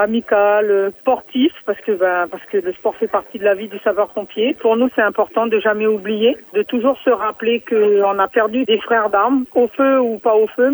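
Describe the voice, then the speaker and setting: A woman's voice: on the high side, low-quality recording. A woman, secretary general of a firefighters' union, speaking earnestly in an interview clip with some hesitations.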